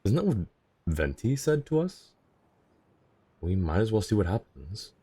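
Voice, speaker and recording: A man's voice: fairly low pitch, big pitch swings, unhurried, mellow, slightly rough. A man giving casual live-stream commentary as he plays a video game. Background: video game music.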